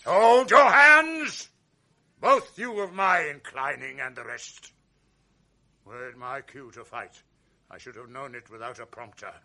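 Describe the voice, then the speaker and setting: An actor playing a proud noble general in a radio drama, speaking verse in a measured, commanding way. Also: male voice, fairly high pitch, vocal fry, bright, forceful tone, full character voice. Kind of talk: 1980s radio drama acting.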